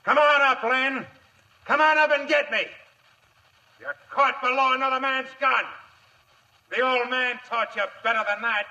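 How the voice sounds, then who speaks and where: An actor playing a cocky, taunting American outlaw, calling out loudly in a vintage radio western. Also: male voice, extremely high pitch, steady tone, unhurried, long pauses, full character voice. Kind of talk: 1950s radio drama acting, calling out loudly. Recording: dull old radio recording.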